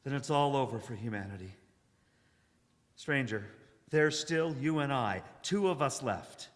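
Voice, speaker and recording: A man playing a haunted, weary wanderer, solemn and sometimes halting, in a live radio drama. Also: mid-range voice, expressive, close to an everyday voice. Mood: anxious, despairing. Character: haunted, weary male wanderer narrating his survival. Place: church hall stage, live performance. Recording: echoey hall, live performance.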